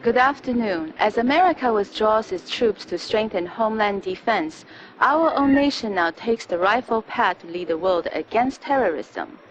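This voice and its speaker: A woman playing a composed, patriotic state-television anchor, reading a news report in a formal, measured, official manner. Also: medium pitch, animated, close to an everyday voice, poor audio. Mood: formal, assured. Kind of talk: scripted TV news report acting.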